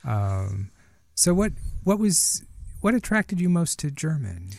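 A middle-aged man hosting a conversational interview, phrasing his questions in a measured, hesitant way with repeated words. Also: fairly high pitch, sing-song, vocal fry, darkish tone, no echo. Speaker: radio interview host.

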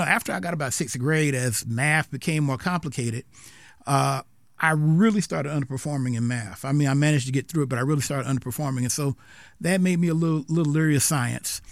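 A man's voice: a little high, gravelly, dry recording, studio quality. An older man from South Carolina, a retiring history professor, telling his story in a rambling way, with many restarts and fillers. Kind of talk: unscripted interview.

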